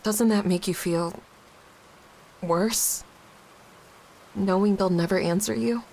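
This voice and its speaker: A woman acting a grieving, guilt-ridden young widowed mother in an audio drama, halting and emotionally raw. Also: medium pitch, darkish tone, low-quality recording. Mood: hesitant, subdued. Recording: clear background hiss.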